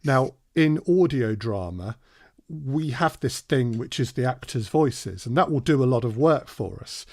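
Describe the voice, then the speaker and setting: A man's voice: medium pitch, animated, a little slow, darkish tone, no room sound, studio quality. A man co-hosting a conversational workshop, talking discursively and building up to his questions. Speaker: workshop co-host and interviewer.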